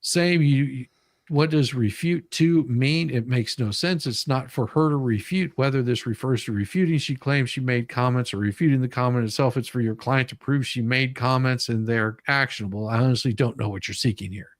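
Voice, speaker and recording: A man with an average-pitched voice hosts a casual commentary. He reads from documents and breaks them down bluntly. The sound is dry, with little room on it. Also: normal speed, no echo. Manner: blunt, mildly profane, explanatory. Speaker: online show host. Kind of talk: casual live commentary, reading documents aloud.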